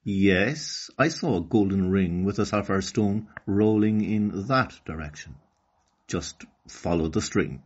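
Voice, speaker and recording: An Irish man voicing a kindly, cautioning big fish in a children's story, speaking deliberately. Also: low voice, husky, exaggerated voice. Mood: helpful. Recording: slightly dull lo-fi recording.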